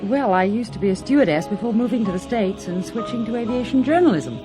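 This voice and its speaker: A woman's voice: on the high side, lively intonation, fast, over music, lightly acted, low-quality recording. An actress playing a breezy female aviation journalist in a radio drama.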